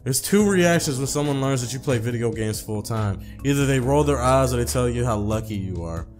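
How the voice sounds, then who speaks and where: A man's voice: mid-range voice, lively intonation, brisk, over music. A man hosting a gaming video, reading story text aloud in an informal, slangy way with casual commentary. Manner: slangy, informal, repeats phrases when misreading.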